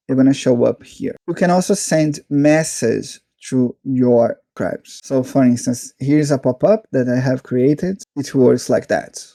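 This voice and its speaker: A man with a medium-pitched, relaxed and mellow voice presents a casual screen-share review. He moves through features in a matter-of-fact, step-by-step way. The sound is close and dry, with very little room in it. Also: creaky, dry recording. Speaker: software reviewer, channel host.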